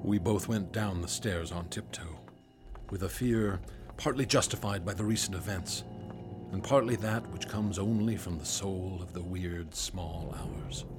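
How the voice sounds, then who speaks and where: An actor playing an anxious, haunted doctor in radio drama, a reluctant accomplice who tells of horrors in florid, grave words. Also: male voice, deep voice, a little slow, over music. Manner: grave, florid storytelling, fretful in dialogue. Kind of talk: audio drama in old-time radio style.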